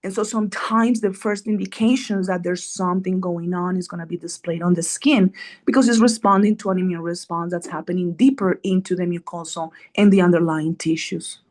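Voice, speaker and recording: A woman's voice: on the low side, fairly even intonation, fairly quick, darkish tone. A middle-aged woman from Colombia, an immunologist guest explaining science in a podcast interview, earnest, often checking in with "right?".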